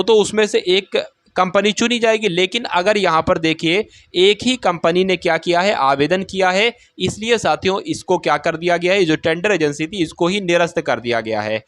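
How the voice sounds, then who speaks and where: A man's voice: high voice, a bit bright, no room sound. A man presenting exam news, walking viewers through an official notice on screen and reading it aloud while chatting to them as friends. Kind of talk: casual explanatory screen walkthrough.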